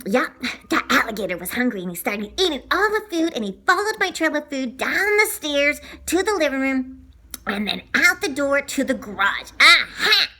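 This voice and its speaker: A woman voicing an excitable young boy telling a children's storybook tale, with dramatic exclamations and drawn-out words. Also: high voice, exaggerated voice. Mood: scheming. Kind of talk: children's storybook read-aloud.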